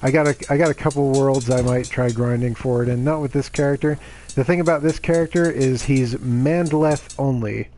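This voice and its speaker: A man streaming a game, voice a little raspy from reading aloud the day before, chatting casually with lots of "you know"s and "uh"s. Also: mid-range voice, steady tone, moderate pace, dark tone, a little hiss or hum. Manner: chatty and self-justifying, lots of fillers. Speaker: gaming streamer. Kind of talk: casual gameplay commentary.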